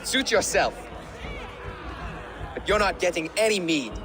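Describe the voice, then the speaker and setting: A brash, high-voiced Viking reveler. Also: male voice, expressive, a little slow. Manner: jovial, pushy. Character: brash young Viking at a feast, teasing. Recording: music and crowd behind.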